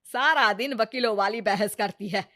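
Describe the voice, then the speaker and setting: A woman voicing a sharp-tongued, nagging mother-in-law in a Hindi cartoon story, theatrical and taunting. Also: medium pitch, moderate pace, close to an everyday voice. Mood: sarcastic scolding.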